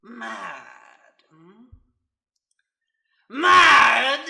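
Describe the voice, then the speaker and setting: A man's voice: pushed and bright, full character voice. A man voicing a mad, gleeful ratman villain for a fantasy story, screechy and manic, repeating himself in broken phrases. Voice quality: shrill, creaky, screechy. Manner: broken, repetitive syntax, manic outbursts. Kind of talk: fantasy audiobook character acting. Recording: echoey room.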